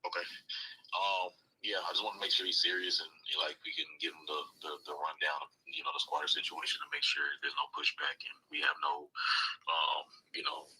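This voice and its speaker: A man calling in by phone to talk through an offer on a deal, relaxed and hesitant, with fillers. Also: extremely low pitch, brisk, slightly rough, low-quality recording. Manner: laid-back, hesitant with fillers. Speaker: caller, wholesaling team member. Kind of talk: phone call. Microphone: speakerphone on a call.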